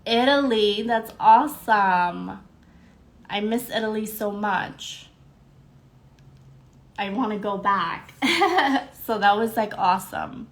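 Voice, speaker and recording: A woman's voice: medium pitch, animated, slow, pauses often. Bubbly congested woman hosting a live stream. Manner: bubbly, greets viewers by name, fillers. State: congested, slightly nasal. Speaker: podcast host. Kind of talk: casual live-stream chat.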